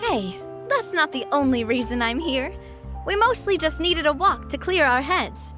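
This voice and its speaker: A woman voicing a confident, warm-hearted young female gang leader in a video game, speaking in an upbeat, friendly way. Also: high-pitched, lively intonation, moderate pace. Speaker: game character. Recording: game audio with faint music.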